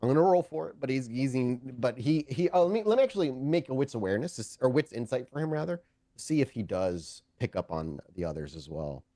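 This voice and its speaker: A man's voice: mid-range voice, fast, no echo. A man narrating a tabletop game as game master, improvising with plenty of profanity. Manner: profane, animated storytelling.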